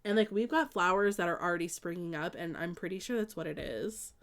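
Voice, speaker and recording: A woman's voice: on the low side, animated, fairly quick. Stuffy-nosed young woman chatting on stream. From American Midwest. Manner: chatty, rambling, many ums and likes. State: stuffed up with allergies. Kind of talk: casual live-stream chat answering viewers.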